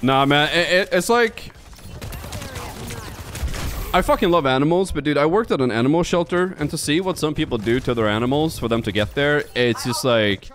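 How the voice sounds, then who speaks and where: Profane young Swedish-born gaming streamer. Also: male voice, fairly high pitch, moderate pace, a bit bright, a little hiss or hum. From Sweden. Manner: blunt and profane, talks straight to chat. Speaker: game streamer. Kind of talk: casual chat while playing.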